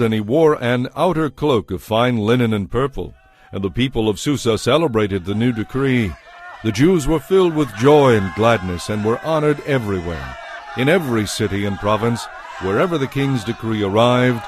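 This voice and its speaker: A man narrating a dramatized audio Bible in a measured, formal storytelling manner. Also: medium pitch.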